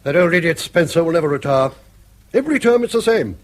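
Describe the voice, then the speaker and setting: A man's voice: fairly high pitch, expressive, quick pace, close to an everyday voice. An actor playing a blunt, sardonic old British father in a radio drama, blustering, mocking and given to exclamation. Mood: grumbling, mocking.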